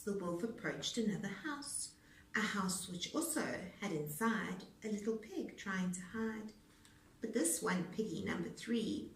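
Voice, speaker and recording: A woman storyteller reading children's rhymes aloud in a theatrical, rhythmic, deliberate manner. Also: mid-range voice. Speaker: storyteller, speech-language pathologist. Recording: roomy echo, distant mic.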